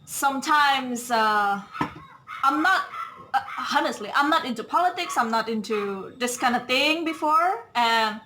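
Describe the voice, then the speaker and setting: A woman's voice: high-pitched, expressive, a little slow, background noise. A woman from Bali co-hosting a podcast, chatting casually with loose grammar and laughing often. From Bali, Indonesia. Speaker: podcast co-host. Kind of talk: casual conversational chat.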